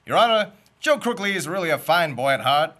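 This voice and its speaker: A greedy shyster lawyer with a high, bright, bouncy delivery, as a young man acts him out. He rattles off wisecracks and puffs up into mock-grand speeches in a comic radio play. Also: sing-song, a little fast. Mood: wisecracking. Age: young adult. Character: fast-talking, greedy male shyster lawyer.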